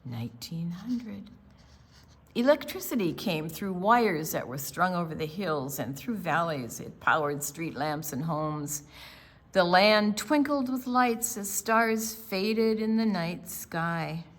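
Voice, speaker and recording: Low-pitched, lively woman reading a picture book. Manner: deliberate, expressive storytelling for young children. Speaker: children's storytime reader at a library.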